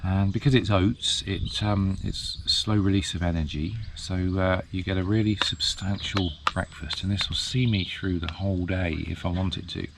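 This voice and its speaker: Low-voiced man rambling outdoors. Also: moderate pace, hardly any pauses, background noise. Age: 50s. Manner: relaxed, rambling, many ums and uhs. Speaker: vlogger. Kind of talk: casual vlog chat. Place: outdoors in a field at a campsite.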